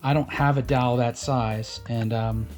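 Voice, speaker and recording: Male DIY vlog host, middle-pitched voice, casual and unscripted, somewhat halting as he explains, with music in the recording. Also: fairly even intonation, darkish tone. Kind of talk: casual unscripted how-to chat.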